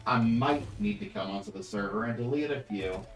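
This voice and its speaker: A man's voice: mid-range voice, a little fast, reverberant, distant mic, soft background music. A man hosting a gaming livestream, reading out chat and bossing his partner around in a dry manner. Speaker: livestream host. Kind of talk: casual chat while gaming.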